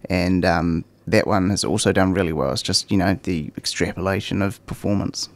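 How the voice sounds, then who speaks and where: A young man with a New Zealand accent, chatting as a guest on a talk show with a relaxed manner and lots of ums. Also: low-pitched, normal speed. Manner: relaxed, many ums and fillers. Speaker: guest, cycling content creator. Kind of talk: casual interview chat.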